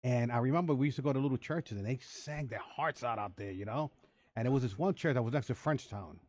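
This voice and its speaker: A man's voice: medium pitch, fast, dry recording. A man hosting a radio interview, talking loosely and rambling, often repeating words. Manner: relaxed, rambling with many repeats. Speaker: radio show host. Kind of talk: casual interview chat. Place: radio studio.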